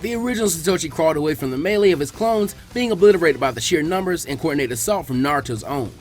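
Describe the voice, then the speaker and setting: A man narrates an action story in a fairly high voice with highly varied intonation. It is a scripted, dramatic audiobook reading, with music playing underneath. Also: lively intonation, quick pace. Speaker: fan fiction reader.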